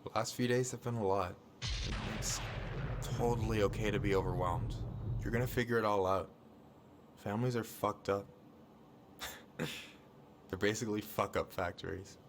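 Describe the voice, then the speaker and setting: Game role: laid-back young American male friend, played by an actor, reassuring, slightly low pitch, faint background noise. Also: moderate pace, pauses often, close to an everyday voice. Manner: easygoing, colloquial, reassuring. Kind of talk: naturalistic video game dialogue.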